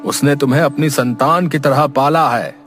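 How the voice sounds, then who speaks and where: A man playing a fatherly village headman in a cartoon story, measured and admonishing. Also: a little high, a bit bright, faint music behind, close to an everyday voice. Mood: gently admonishing. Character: fatherly village headman giving moral advice. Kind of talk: cartoon moral story acting.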